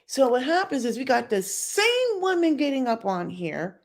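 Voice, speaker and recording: A woman's voice: very animated, moderate pace. Middle-aged woman, YouTube commentator, opinionated and sassy, emphatic delivery. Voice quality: deep voice by her own account. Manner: sassy, emphatic, mocks others in put-on voices.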